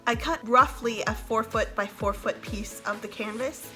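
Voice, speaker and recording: Young female DIY host, stuffy-nosed from a cold, casual and self-deprecating, over loud backing music. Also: on the high side, moderate pace. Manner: chatty, self-deprecating, laughs between phrases. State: congested from a cold, with a cough. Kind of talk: casual tutorial narration.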